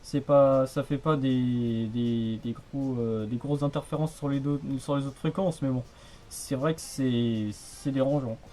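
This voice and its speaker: A man's voice: medium pitch, steady tone, unhurried, dark tone, slight background noise. A man chatting casually on the radio in a rambling, hesitant, repetitive way. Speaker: amateur radio hobbyist.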